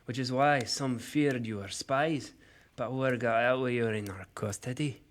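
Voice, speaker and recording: A man's voice: medium pitch, fairly even intonation, a little slow, lightly acted. A man playing a stern male knight who guides a group and gives curt commands.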